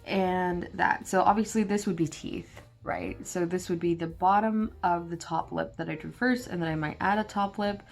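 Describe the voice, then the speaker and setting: A young woman, a bit hoarse after just waking up, talking casually through a drawing tutorial with lots of fillers. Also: lowish voice, steady tone, moderate pace, hardly any pauses, soft background music. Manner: rambling, filler-heavy, self-deprecating. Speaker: art channel host. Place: at a desk, filming her drawing from overhead.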